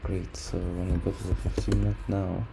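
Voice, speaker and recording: A man with a low, creaky voice gives casual, hesitant, low-energy commentary as he works through a trading screen, repeating words as he thinks aloud. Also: level tone, normal speed. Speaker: trader recording a backtesting session. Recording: noisy room, keyboard and typing sounds.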